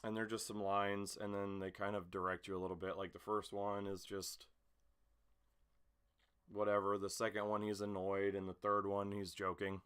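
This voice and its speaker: Casting: male amateur voice actor, slightly low clear voice, informal vlog talk, self-conscious and meandering. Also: little pitch movement, normal speed, fairly bright tone. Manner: hesitant, rambling, self-conscious. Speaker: amateur voice actor recording an audition video. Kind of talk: casual vlog chat. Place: small home room with a mattress against the door.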